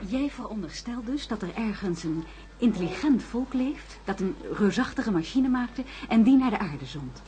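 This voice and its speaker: An actress playing an attentive, gently firm secretary in a science fiction radio drama. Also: female voice, mid-range voice, expressive, moderate pace, a little hiss or hum, lightly acted. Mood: questioning.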